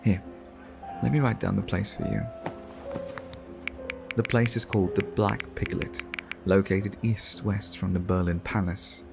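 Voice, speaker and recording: Suave, low, gravelly vampire gentleman acted by a man. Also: fairly even intonation, over music. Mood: courtly, musing. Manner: formal, courteous, measured, flirtatious. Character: suave, refined male vampire art collector. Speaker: ASMR roleplay performer. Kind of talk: ASMR roleplay.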